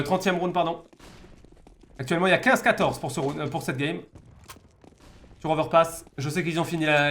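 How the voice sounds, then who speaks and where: A man's voice: a little high, a bit bright. A man from France giving informal live commentary on a video game match, repeating words as he searches for the next phrase. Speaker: esports caster. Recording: noisy game stream with gunfire. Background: game gunfire and clicks.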